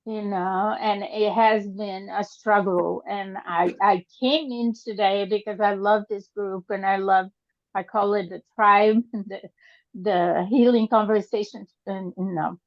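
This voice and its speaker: An older woman from Brazil, whose first language is Portuguese, sharing in an online group over a video call, halting and hesitant with many fillers. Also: mid-range voice, steady tone, no room sound. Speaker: group participant. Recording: dull lo-fi call audio.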